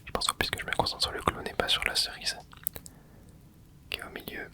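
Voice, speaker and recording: A man hosting an ASMR channel, whispering soft, casual commentary to himself as he plays a video game, full of gamer slang. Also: fairly quick. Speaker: ASMR gaming channel host.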